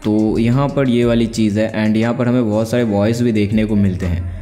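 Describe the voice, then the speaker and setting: A man's voice: lowish voice, steady tone, normal speed, dark tone. A young man giving a casual, conversational tech tutorial, explaining step by step. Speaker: tech video host. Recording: echoey room with faint music. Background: faint music.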